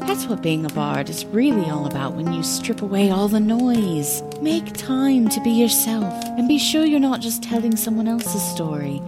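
A woman voicing a tender, wise mother who gives earnest, deliberate, comforting advice in a fantasy audio drama. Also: medium pitch, close to an everyday voice. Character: tender wise mother advising her grown child.

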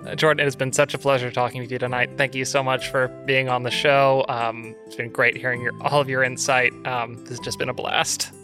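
A woman's voice: very deep voice, level tone, a little fast, few pauses, music in the background. A woman hosting a podcast, delivering a sign-off in a conversational way. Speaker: podcast host.

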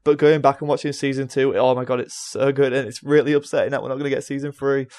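A man's voice: medium pitch, flat delivery, fast talker, a little mellow. A young man talking casually and enthusiastically about pop culture as a podcast panellist, with emphatic opinions. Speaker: podcast panellist and film reviewer.

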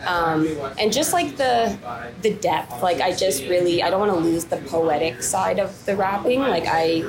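A woman's voice: fairly low pitch, moderate pace, poor audio. A woman rapper being interviewed, talking casually with lots of fillers and restarts. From Canada. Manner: chatty, many fillers and restarts. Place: downtown coffee shop. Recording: busy coffee shop chatter behind.

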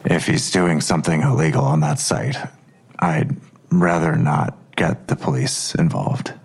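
An actor playing a guarded, middle-aged police detective and worried father in an audio drama, gravelly and terse. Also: a bass voice, measured pace, heavily acted. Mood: grave, defensive. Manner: terse, cagey.

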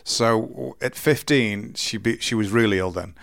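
Deep-voiced man, halting and earnest. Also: vocal fry, a bit bright, no room sound, clean studio sound. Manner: slow, halting, earnest storytelling with many restarts. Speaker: interview guest. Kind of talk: candid personal interview.

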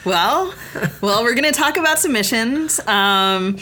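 A woman's voice: fairly high pitch, animated, unhurried, bright, forceful tone, slight background noise. A woman chatting casually as co-host of a podcast. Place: hotel room.

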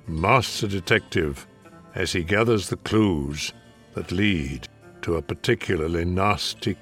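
An older man hosting a mystery radio show with a measured, deliberate delivery. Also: low voice, fairly bright tone. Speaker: host. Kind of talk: scripted introduction.

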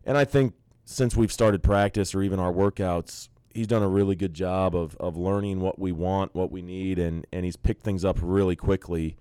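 A man's voice: low-pitched, moderate pace, smooth, dry recording. A man who coaches college basketball, talking easily and at length in an interview, often restarting his sentences. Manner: relaxed, talkative, frequent restarts. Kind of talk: casual interview chat.

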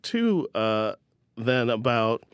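A man hosts a relaxed interview about poems. His voice is mid-pitched and he asks curious, engaged questions. The sound is close and dry, with no room echo. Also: takes it slowly, smooth. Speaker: host. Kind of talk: conversational interview.

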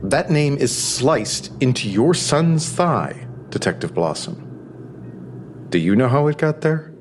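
An actor playing a stern, suspicious hospital doctor, blunt and probing, in a podcast audio drama. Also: male voice, medium pitch, lively intonation, slow, music in the background. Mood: accusing. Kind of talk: full-cast audio drama.

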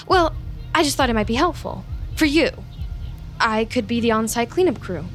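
Actress as an eager, clingy young best friend. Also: female voice, fairly high pitch, expressive, normal speed, soft background music, close to an everyday voice. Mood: nervous, hopeful. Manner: chatty, hesitant, nervously upbeat. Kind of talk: audio drama acting, confiding narration and dialogue.

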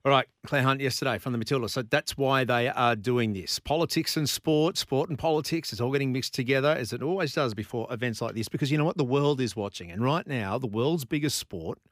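An Australian man hosts a sports talkback show in a conversational, questioning way. His voice sits at an ordinary middle pitch. The sound is close and dry. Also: brisk, few pauses, a bit bright, no echo. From Brisbane, Australia. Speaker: radio sports host. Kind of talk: talkback radio monologue.

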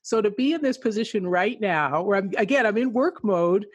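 A chatty woman raised in England and Canada, answering interview questions and laughing easily between thoughts. Her voice sits in a middle pitch, and it is recorded dry and close. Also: expressive, moderate pace, slightly rough, no echo. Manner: warm, chatty, laughs often. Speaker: guest author.

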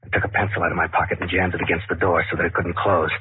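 An actor playing a nervous, obsessive killer who confides in a rambling, conversational way, in the manner of 1940s radio suspense narration. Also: male voice, low voice, little pitch movement, rapid-fire, husky, no room sound. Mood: hushed, tense. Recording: scratchy, tinny 1940s radio recording.

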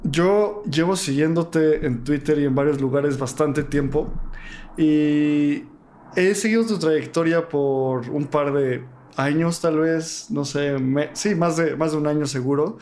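A man's voice: a little high, slow pace, darkish tone, a little hiss or hum. A man co-hosting a podcast, asking questions in a friendly, somewhat hesitant way. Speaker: podcast co-host. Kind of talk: podcast interview.

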